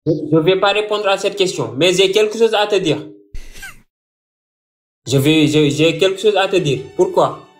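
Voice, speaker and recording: A man from Senegal with a moderately high voice argues as a debate caller over faint music. His delivery is halting, doubling words as he pleads for a chance to explain. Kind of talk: heated live debate.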